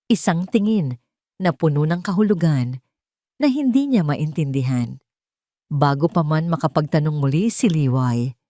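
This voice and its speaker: A woman narrating a scripted audio drama in a deliberate, dramatic storytelling manner. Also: low-pitched, a little mellow, no room sound, clean studio sound.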